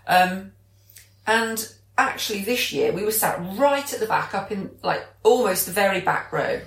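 A woman's voice: medium pitch, expressive, normal speed, creaky. A British woman co-hosting a podcast in casual, chatty conversation, explaining things as she goes. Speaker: podcast co-host. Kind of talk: casual chat. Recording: roomy, echoey sound.

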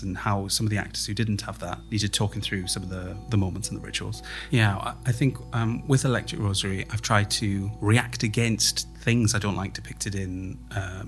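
A man's voice: on the low side, creaky. A man speaking as an interviewed playwright, explaining his thinking in a reflective, conversational way.

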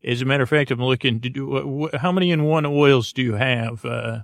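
A man with a creaky voice hosting a bedtime podcast, musing aloud in a hesitant, meandering way and circling back on words. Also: medium pitch, fairly even intonation, fairly quick, dry recording, studio quality. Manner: musing, asks themselves questions. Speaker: podcast host.

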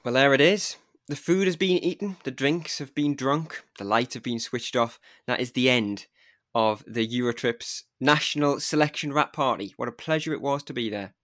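A man with a Geordie accent chats on a podcast in a jokey, wry way. His voice sits at an ordinary middle pitch. The sound is dry and close, with no room echo. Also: normal speed, fairly bright tone. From North East England. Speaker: podcast co-host. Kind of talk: casual podcast chat.

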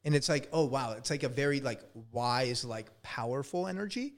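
A man's voice: mid-range voice, normal speed. Casual man in his thirties hosting. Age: 30s. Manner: relaxed, heavy filler "like", casual swearing. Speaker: podcast host. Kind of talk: casual interview chat.

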